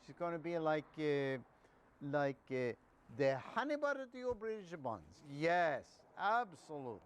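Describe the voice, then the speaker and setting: Man acting a high, swooping, hustling Italian agent. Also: very animated, clear, resonant tone. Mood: eager, reassuring. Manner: halting, repetitive, spells things out slowly.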